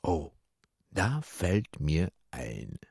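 An actor playing a sly, rambling old Polish junk-shop dealer in a German-dubbed film thriller, evasive and wheedling. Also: male voice, sits low, sing-song, creaky, a little mellow, slightly rough, no room sound, clean studio sound, exaggerated voice. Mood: sly, reminiscing. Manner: evasive, wheedling, drawn-out musing.

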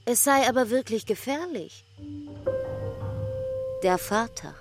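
A woman narrates literary prose in at a very slow pace. Her voice sits fairly high, with music clearly audible behind the studio reading. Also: animated. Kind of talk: scripted literary narration for a radio play.

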